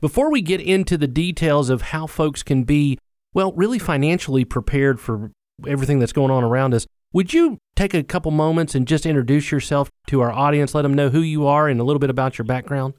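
Host: man, friendly scripted radio announcer, slightly raised pitch, close and dry. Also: brisk, darkish tone, no room sound.

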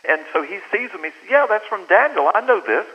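A man's voice: sits high, brisk, slightly rough. An American man, a book's author, telling a story in a radio interview and acting out the voices of the people in it. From United States. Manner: animated storyteller who acts out quoted dialogue. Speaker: author guest. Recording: lo-fi phone line.

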